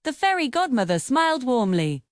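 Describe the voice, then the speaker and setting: A woman telling a children's fairy tale with an expressive, sing-song delivery. Her pitch sits a little high and swoops widely, and the recording is close and dry. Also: moderate pace, no room sound. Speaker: storyteller.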